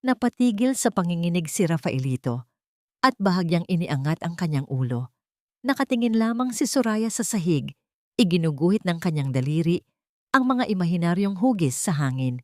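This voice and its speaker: A woman narrating a scripted dramatic story in a measured, emotive storytelling manner. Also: lowish voice, sing-song, dry recording, clean studio sound.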